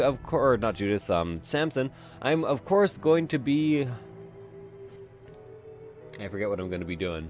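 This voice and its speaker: Stuffy-nosed young man rambling through a game. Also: mid-range voice. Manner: rambling, chatty, swears casually. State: stuffy, congested. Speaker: gameplay commentator. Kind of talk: casual let's-play commentary.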